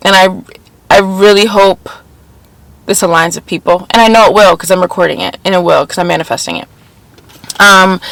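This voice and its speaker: A woman's voice: mid-range voice, a bit bright, dry recording, low-quality recording. Young woman podcast host, slightly congested and nasal, earnest self-help talker, close and dry. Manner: earnest, repeats phrases, spiritual wording. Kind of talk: casual spiritual self-help chat.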